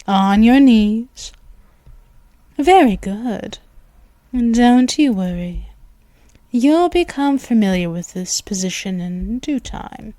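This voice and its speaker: A commanding female vampire, as a woman plays her for an ASMR roleplay, drawing out every word at a very slow, deliberate pace. Her voice stays soft and hushed, slipping into whispers. The recording is dry and intimate, right up against the microphone. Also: fairly high pitch, lively intonation, no room sound. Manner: commanding and purring. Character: dominant predatory female vampire, commanding and possessive. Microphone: close mic, intimate.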